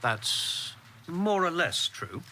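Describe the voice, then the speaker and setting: An actor playing a discreet, reticent civil servant in a radio drama, polite and guarded. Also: male voice, on the high side, big pitch swings, drawn-out words, pushed and bright, a little hiss or hum, full character voice.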